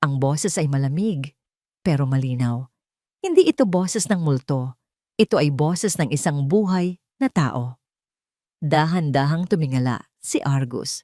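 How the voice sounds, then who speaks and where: A woman's voice: low voice, expressive, unhurried, pauses often, mellow, no echo, studio quality. A woman narrating a scripted romance and revenge audio drama in a dramatic storytelling style.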